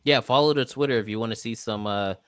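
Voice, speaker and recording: A man's voice: mid-range voice, fast, smooth, no room sound, studio quality. A man chatting casually as a guest on a livestream panel. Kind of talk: casual chat.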